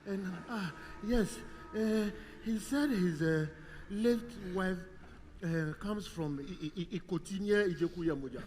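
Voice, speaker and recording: An actor with a West African accent playing a humble, nervous servant in a stage tragedy, halting and stammering as he pleads. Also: high voice, a little mellow, slight background noise. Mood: hesitant. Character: nervous, humble male villager, a hunter's servant under questioning. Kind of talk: projected stage tragedy acting. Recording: echoing theatre hall.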